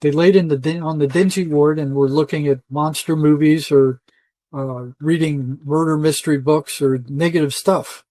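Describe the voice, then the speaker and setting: Older male interviewee, slightly high voice, dry close sound, folksy and emphatic storyteller. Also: fairly even intonation, normal speed, mellow, no echo, low-quality recording. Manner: emphatic, colloquial, often quoting imagined dialogue. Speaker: interview guest, retired psychotherapist.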